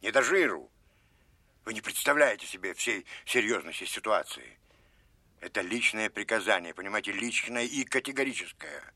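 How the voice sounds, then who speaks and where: A man's voice: lowish voice, bright, forceful tone, no room sound, exaggerated voice. A man acting a stern, commanding Soviet colonel general in a Russian audio drama, giving clipped, emphatic orders with authority.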